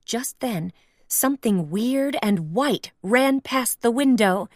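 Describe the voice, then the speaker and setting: A woman narrating a children's storybook aloud in an expressive read-along style. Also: on the high side, slow, no echo, studio quality.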